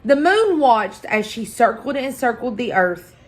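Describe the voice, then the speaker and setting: Slow, high-voiced woman reading a picture book. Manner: deliberate, expressive storytelling with pauses for effect. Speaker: library story-time reader. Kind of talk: scripted children's picture-book narration.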